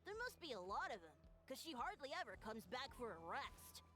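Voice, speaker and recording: A woman's voice: a little high, sing-song, fairly quick, pushed and bright, faint music behind. A woman voicing an earnest, eager and admiring young child villager in a video game. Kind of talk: video game character acting.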